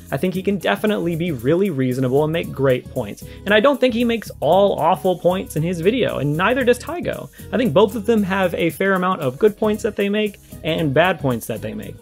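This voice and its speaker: A man with a fairly high voice talks through a casual video commentary. He argues his points conversationally and leans on key words. Soft music plays behind him. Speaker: video creator giving a response. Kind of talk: casual commentary to camera or mic. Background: background music.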